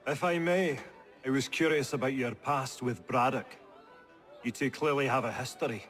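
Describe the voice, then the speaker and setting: An actor playing a proud, earnest British army officer in a video game, with a polite, stiff, formal manner. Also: male voice, medium pitch, moderate pace, fairly bright tone, close to an everyday voice. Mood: polite, curious. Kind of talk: dramatic video game acting. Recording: faint background music under the voice.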